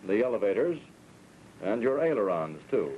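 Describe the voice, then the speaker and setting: A man's voice: on the low side, very animated, moderate pace. An American man giving scripted, step-by-step instruction as a flight instructor in a 1940s training film. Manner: clear, orderly step-by-step instructing. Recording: muffled, noisy 1940s film soundtrack.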